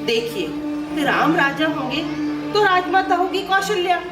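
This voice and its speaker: Echoing and distant, with music behind it, this is a high-pitched scheming maidservant, played by a woman. She speaks in an insinuating, theatrical way, urging her mistress on as in a mythological stage drama. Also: lively intonation, measured pace. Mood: goading. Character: scheming female maidservant goading her queen. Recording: echoey, distant playback with loud music.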